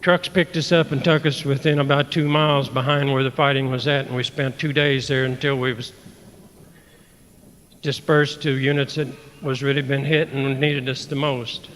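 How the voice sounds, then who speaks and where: A man in his eighties with a rural American accent, recalling his past in a halting, grave way as a veteran. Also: medium pitch, little pitch movement, a bit bright, slight background noise, poor audio. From rural United States. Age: 80s. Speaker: war veteran. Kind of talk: personal reminiscence. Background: music.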